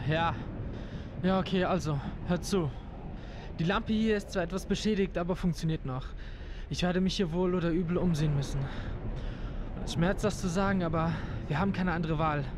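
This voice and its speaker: A man's voice: high voice, normal speed, natural voice. A man voicing a young male student exploring a haunted town in an amateur audio drama, talking eagerly and urgently. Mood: hushed. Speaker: voice actor in a fan audio drama. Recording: ambient noise bed behind voice.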